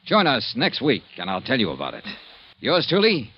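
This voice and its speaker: A man's voice: mid-range voice, huge pitch swings, normal speed, heavy background noise. A man playing a tough, world-weary insurance investigator in 1950s radio drama style. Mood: dramatic, teasing. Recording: muffled vintage radio recording, faint music.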